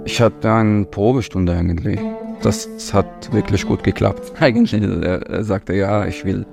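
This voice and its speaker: Man, 30s, gravelly, halting German, music behind. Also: fairly low pitch. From Palestine. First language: Arabic. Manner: halting, searching for German words. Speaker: musician interviewee. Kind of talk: interview answers.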